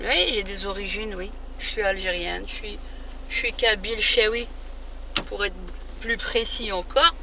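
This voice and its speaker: A woman, giving an informal, emphatic opinion monologue to viewers, often halting and repeating phrases to drive a point home. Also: medium pitch, creaky. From France. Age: 40s. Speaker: vlogger addressing viewers. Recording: muffled lo-fi audio with faint noise.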